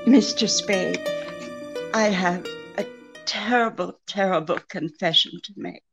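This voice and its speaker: An actress playing a secretive, seemingly helpless femme fatale client in an old-time radio mystery, halting and pleading with a throb in her voice. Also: mid-range voice, music in the background, close to an everyday voice. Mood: nervous, confessing. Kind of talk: old-time radio detective drama re-creation.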